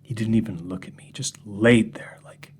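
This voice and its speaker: A man voices a haunted, bitter man confiding in a room of gentlemen. His husky voice jumps from sharp outbursts to breathy near-whispers. He sounds agitated and unsettled throughout. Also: fairly low pitch, moderate pace. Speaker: narrator. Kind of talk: first-person horror story reading.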